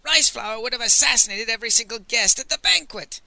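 A man's voice: sits high, big pitch swings, normal speed, bright, forceful tone, voice pushed up, heavily acted. A man voicing a crafty, irascible old sage in a storybook, haughty and given to indignant scolding. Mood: angry. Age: older.

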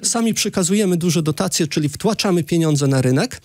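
A man's voice: fairly high pitch, expressive, brisk, a little mellow, no echo, studio quality. A man in his thirties hosting a political radio interview, pressing his guest with challenging questions. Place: small radio studio, indoors. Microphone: close desk microphone.

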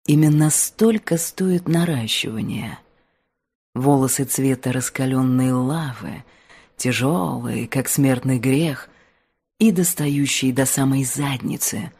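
A woman voicing a mysterious wealthy temptress in an audiobook, speaking in a hushed, seductive, insinuating murmur. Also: extremely low pitch, slow, slightly rough, pitched below the performer's own voice, heavily acted. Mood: tempting, insinuating.

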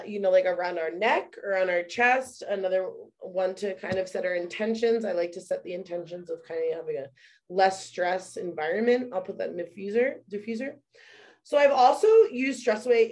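A woman, a mother, casually presenting products from her bathroom at home, chatty and full of fillers. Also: medium pitch. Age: young adult or middle-aged mother. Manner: chatty, many fillers, addresses viewers as "you guys". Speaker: essential oil presenter.

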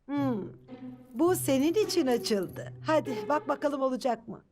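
A woman's voice: high-pitched, animated, a little slow, music in the background. A woman voicing a spry, affectionate older grandmother in a children's cartoon dub. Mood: intrigued.

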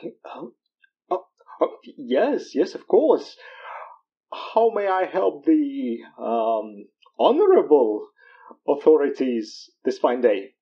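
A man's voice: a little high, sing-song, slow, lo-fi sound, exaggerated voice. A man playing a pompous spiritual guru in an audio drama, speaking in a grandiose, flowery way. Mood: flustered, obliging. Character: pompous, theatrical male spiritual guru peddling remedies. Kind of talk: audio drama character acting.

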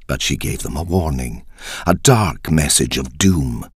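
A man narrating a dark verse tale as a storyteller, theatrical, deliberate and ominous, with a husky deep voice. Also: animated, dry recording, studio quality. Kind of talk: dramatic scripted storytelling in verse.

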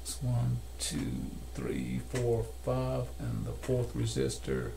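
An older man talks through a technical demonstration step by step. His voice is on the low side of medium with a fair amount of fry and gravel. He is recorded in a workshop with clear background noise. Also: big pitch swings, slow pace. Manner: methodical, step-by-step explaining. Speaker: repair technician demonstrating calibration. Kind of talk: hands-on tutorial narration. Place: cluttered indoor electronics workshop. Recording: noisy workshop room recording.

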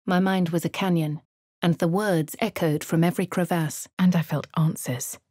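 A woman's voice: lowish voice, fairly even intonation, moderate pace, dark tone, no room sound, clean studio sound, lightly acted. A woman voicing a posh English adventurer heroine. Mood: composed. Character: posh young female adventurer hero. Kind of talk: game voice lines and narration.

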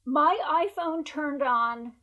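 A hoarse woman who has lost her voice, speaking emphatically as a researcher guest on a video call interview, through earbuds. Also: high-pitched, slow, bright, forceful tone, highs cut off. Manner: emphatic, repeats points for stress. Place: bright room at home by a window. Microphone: wired earbuds on a video call.

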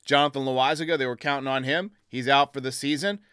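A man's voice: medium pitch, fairly even intonation, quick pace, bright, forceful tone, clean tone, no echo, clean studio sound. A man hosting a fan call-in sports show, giving blunt, emphatic opinions in a casual way.

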